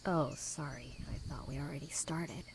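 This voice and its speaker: Low, gravelly, sardonic young rebel. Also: female voice, moderate pace, a little hiss or hum. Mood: sarcastic, bored. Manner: flat, dry, indifferent. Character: sardonic, rebellious young woman at a religious retreat. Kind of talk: dramatic visual novel voice acting.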